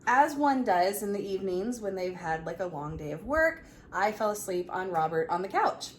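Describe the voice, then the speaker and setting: A woman in her thirties telling a personal story in a casual, chatty, animated way. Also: medium pitch, moderate pace. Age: 30s. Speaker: vlog co-host. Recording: clearly noisy room ambience.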